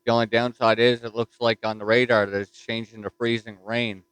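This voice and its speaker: A man with a Newfoundland accent chatting casually as a ham radio operator from his home station, relaxed and easygoing. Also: mid-range voice, brisk, a little hiss or hum, poor audio. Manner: easygoing, says "roger that".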